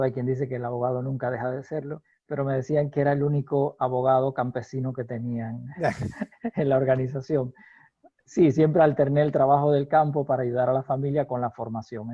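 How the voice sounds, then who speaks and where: A middle-aged Cuban man with a medium-pitched voice, heard over muffled, dull video call audio. He answers in a measured, academic way and fills his pauses with "eh". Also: flat delivery, dark tone, no room sound. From eastern Cuba. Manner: thoughtful, with frequent "eh" pauses. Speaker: law professor, interview guest.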